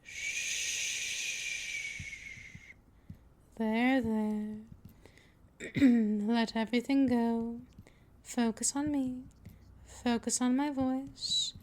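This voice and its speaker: Woman playing a slow-spoken, soothing vampire mistress. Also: on the high side, steady tone, a little hiss or hum. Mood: soothing, hypnotic. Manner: slow, coaxing, soothing, often drifting into whispers. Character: patient, possessive female vampire mistress, soothing and dominant. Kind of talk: scripted ASMR roleplay, hypnotic monologue.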